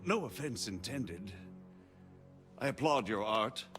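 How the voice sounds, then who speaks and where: An actor playing a shrewd, haughty margrave who speaks in formal, measured, eloquent phrases in a video game drama. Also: male voice, lowish voice, lively intonation, music in the background. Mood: smoothly defensive. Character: shrewd, haughty noble margrave, smooth political schemer.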